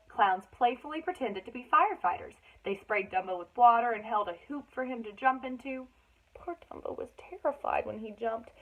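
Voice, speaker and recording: A woman reading a children's story aloud expressively, with dramatic emphasis for young listeners. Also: fairly high pitch. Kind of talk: expressive read-aloud narration with chatty asides. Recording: thin, phone-like band-limited sound.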